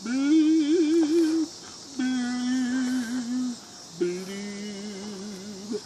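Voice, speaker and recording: Woman as a mopey male fish, slow, low-ish, heavy sing-song chant, faint hiss behind. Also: steady tone. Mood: glum. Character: gloomy male fish, glum and resigned.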